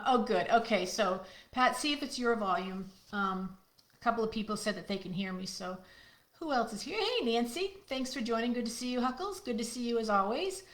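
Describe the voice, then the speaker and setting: Middle-aged woman, loud projecting voice, chatty live-stream host, roomy echo. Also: fairly high pitch. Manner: chatty, friendly, talks to viewers by name. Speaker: card-making host. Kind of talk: casual live-stream chat. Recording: echoey room.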